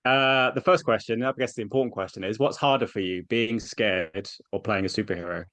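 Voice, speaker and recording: A man's voice: on the low side, brisk, fairly bright tone, no echo. A man interviewing an actress, asking long rambling questions with many restarts. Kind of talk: press junket interview.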